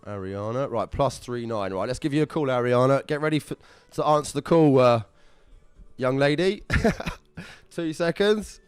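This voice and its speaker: A man's voice: medium pitch, normal speed, clear, resonant tone, dry recording, soft background music. A man hosting a live prize draw in upbeat, chatty, slangy casual talk. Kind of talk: casual live-stream chat.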